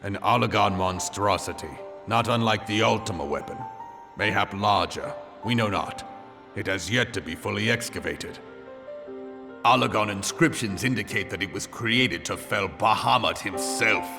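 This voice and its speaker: Stern old-school general, formal, deep-ish voice, game cutscene with music behind. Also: male voice, moderate pace, vocal fry. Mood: grave. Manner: grave, formal, old-fashioned speech. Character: stern seasoned male general, blunt and formal. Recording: music score underneath.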